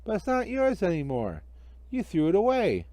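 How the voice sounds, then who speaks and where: Storybook schoolchild, acted by a man: earnest, eager, pleading, high animated voice, dry close recording. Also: moderate pace, dry recording. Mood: protesting. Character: excited, earnest, innocent young schoolchildren.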